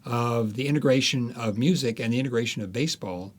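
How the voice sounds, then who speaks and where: A man's voice: mid-range voice, brisk. Man, seventies, American accent, mid-range voice, emphatic storyteller, anecdotal interview style. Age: 70s. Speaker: interview guest, author. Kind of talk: reminiscing, anecdotal interview talk.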